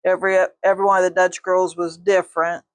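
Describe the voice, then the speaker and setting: A woman's voice: fairly low pitch, level tone, fast talker, dry recording. An older woman teaching a quilting tutorial, chatting with her viewers while she sews. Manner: folksy, talks to viewers while sewing.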